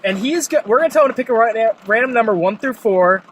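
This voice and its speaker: A man with a high-pitched voice co-hosts a movie review show. He talks casually but emphatically, peppering his opinions with swearing and blunt complaints. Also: expressive, fast talker, fairly bright tone, slight background noise, rough recording. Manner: emphatic, profane ranting. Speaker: podcast co-host reviewing movies.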